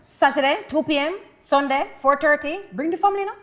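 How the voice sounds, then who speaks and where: Jamaican lady, highish voice, casual podcast chat, short lines. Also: brisk.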